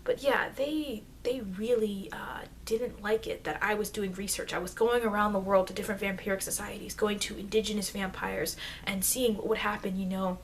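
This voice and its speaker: A woman playing a chatty, informal immortal vampire teacher in an ASMR roleplay, rambling hesitantly and confidingly, with soft dips into whispering. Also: mid-range voice, steady tone, moderate pace, few pauses, lightly acted. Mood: confiding, defensive. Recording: noisy amateur microphone.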